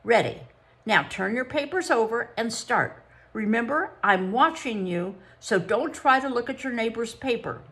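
A woman voicing an officious woman psychologist who speaks briskly and grows shrill and spiteful, higher and louder than her own reading voice. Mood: bossy. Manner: brisk, schoolmarmish, turns shrill when excited.

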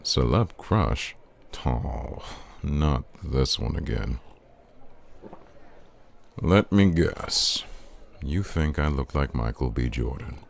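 A suave man, played by a male actor, flirts and teases in an intimate audio roleplay. His voice sits extremely low, with a creaky edge and lively swings in intonation. He talks in an informal, playful, smooth-talking way. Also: slow, pauses often, a little hiss or hum, full character voice. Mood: flirtatious, playful. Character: suave, teasing, flirtatious man hosting a night out.

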